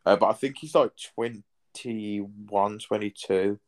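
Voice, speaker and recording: A man's voice: on the low side, slow pace, a little mellow. A man, hosting a podcast in casual chat, rambling with lots of fillers and casual swearing. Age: 30s. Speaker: podcast host.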